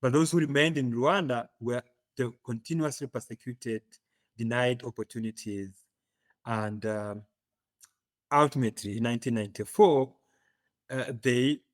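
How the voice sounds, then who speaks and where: A man from Uganda with an East African accent, telling his story as an interview guest, often pausing to search for words. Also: mid-range voice, no echo. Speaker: podcast guest.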